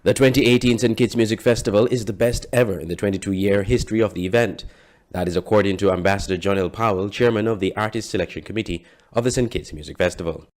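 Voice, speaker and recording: A man delivers a scripted news report. His voice sits on the low side, and he reads as a reporter narrating a story. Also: fast, a little mellow.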